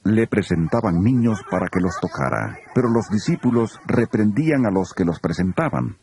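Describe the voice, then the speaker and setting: Deep gravelly male narrator. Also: very animated, moderate pace, warm tone, slight background noise. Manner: grave, storytelling delivery. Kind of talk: scripted scripture narration.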